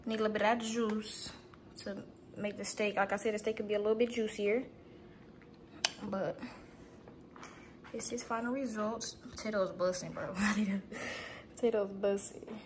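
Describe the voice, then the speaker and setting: A woman's voice: mid-range voice, fairly even intonation, moderate pace, pauses often, noisy background. A young woman chatting casually to viewers while she cooks in her home kitchen, filmed on a phone set on the counter. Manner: chatty, talks to viewers as y'all, chants while working. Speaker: vlogger. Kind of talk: casual cooking vlog chat.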